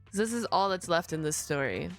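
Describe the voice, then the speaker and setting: A woman's voice: fairly low pitch, animated, a little fast, a bit bright, soft background music, rough recording. A woman game streamer reacting live in a casual, chatty way and greeting viewers.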